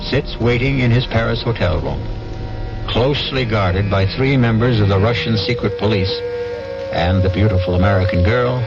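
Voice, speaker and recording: A middle-aged man narrating a 1970s radio mystery drama in a measured, grave storytelling manner. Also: on the low side, lo-fi sound, music in the background.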